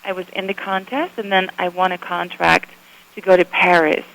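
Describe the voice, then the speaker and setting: A woman's voice: lowish voice, steady tone, normal speed, vocal fry, fairly bright tone, low-quality recording. A middle-aged woman from Sweden with a Swedish accent, telling her story in a friendly, upbeat way as a radio interview guest. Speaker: photographer guest. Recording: radio broadcast.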